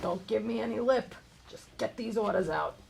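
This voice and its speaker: Woman playing a creaky-voiced, weary male bar owner. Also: medium pitch, normal speed, a little mellow, poor audio. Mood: firm, frustrated. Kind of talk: live comedy table read. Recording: live stage, faint room noise.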